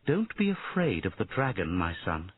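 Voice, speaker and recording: A man voicing a gentle, protective queen who speaks softly to her son, heard over tinny phone-like audio. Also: low-pitched, wildly swooping pitch, normal speed, husky, heavily acted. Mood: tender. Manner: soft and quiet.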